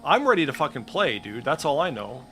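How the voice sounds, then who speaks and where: A man chats casually through a game add-on demo. His voice is bright and forward, his manner informal and profane, and some faint noise sits behind him. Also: mid-range voice, big pitch swings, brisk. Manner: informal, swears freely. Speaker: streamer demonstrating game add-ons. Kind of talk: casual screen-share commentary.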